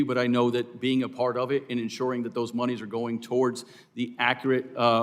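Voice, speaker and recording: A man testifying formally as a witness at a hearing, speaking earnestly and emphatically for his profession. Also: mid-range voice. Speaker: air traffic controllers' representative, hearing witness. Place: hearing room.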